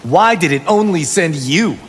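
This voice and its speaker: A boastful young fighter a slightly high, animated voice for a fighting game. He sounds brash, ringing with long reverb over clear background noise. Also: unhurried, soft background music. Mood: challenging. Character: cocky young male martial arts fighter.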